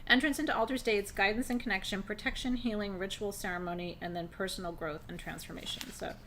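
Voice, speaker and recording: A middle-aged woman with a naturally low voice teaching a relaxed, conversational class on spiritual practice, pacing her words deliberately. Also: background noise. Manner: conversational, intentional pacing, laughs often. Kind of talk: live online class on shamanic drumming.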